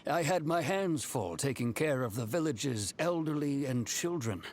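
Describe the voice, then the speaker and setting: A man voicing a kindly middle-aged village chief in a video game, with a polite, measured manner. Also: on the high side, animated. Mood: courteous.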